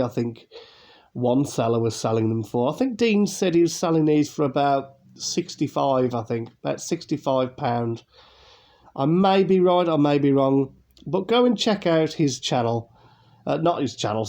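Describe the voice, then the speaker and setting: A man talks through an unboxing in a loose, rambling way, full of ums and restarts. His voice is mellow and dark, and sounds nasal and blocked from a cold he is getting over. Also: mid-range voice. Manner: chatty, rambling, many fillers and restarts. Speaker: YouTube unboxing host. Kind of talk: casual unboxing commentary.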